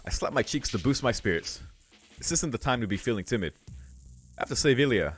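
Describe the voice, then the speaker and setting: A man's voice: medium pitch, expressive, a little fast, soft background music. A man hosting a let's play, reading a visual novel aloud and adding informal, musing asides.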